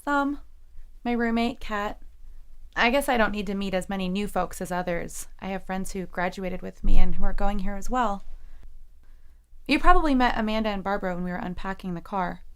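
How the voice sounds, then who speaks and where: A woman playing a quietly defensive young college freshman, guarded and understated, in an audio drama. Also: mid-range voice, moderate pace, clear, resonant tone, close to an everyday voice.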